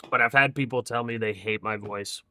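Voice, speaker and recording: A young man hosting a live trading stream, chatting casually with viewers in a monotone voice and calling them bro and dude. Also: fairly low pitch, brisk, dry recording. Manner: says his own voice is monotone. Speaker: livestream trading host.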